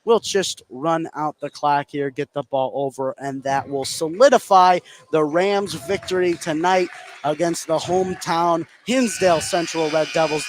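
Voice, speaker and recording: An American man giving live play-by-play radio commentary on a basketball game. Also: a little high. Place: indoor high school gym during a game. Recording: clear background gym noise.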